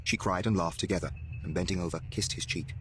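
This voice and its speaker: A man's voice: sits low, fast talker, slight background noise. A man narrating a horror story in a dramatic, scripted reading style. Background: music.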